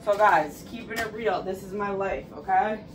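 A woman's voice: mid-range voice, moderate pace. A woman in her thirties vlogging casually to camera in her small apartment, frank and chatty, full of "like" and "guys". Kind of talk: casual vlog chat to camera. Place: small apartment bedroom and kitchen. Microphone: camera set a few steps away. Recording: echoey room from far off, background noise.

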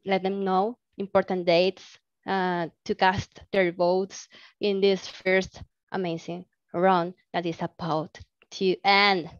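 Woman giving team updates, talks slowly, lots of ums, dull dry call audio. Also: fairly low pitch, smooth, no room sound. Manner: enthusiastic, many fillers. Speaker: team member presenting updates. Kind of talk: casual meeting update. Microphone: laptop mic on video call.

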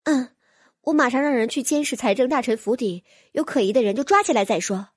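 A woman's voice: fairly high pitch, animated, fairly quick, husky, no room sound, studio quality. A woman voicing an earnest, curious young fantasy heroine in an audiobook, inquisitive and decisive. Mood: concerned. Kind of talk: audiobook character voice.

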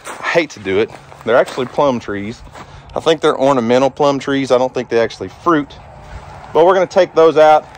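This is a man in his thirties with an average-pitched voice. He talks to viewers casually as he walks around, rambling in a folksy, homespun way. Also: a little hiss or hum. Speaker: homestead vlogger, host. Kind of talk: casual walkthrough vlog, talking to viewers. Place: outdoors in a yard, then inside a cluttered empty house. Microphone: handheld camera at arm's length.

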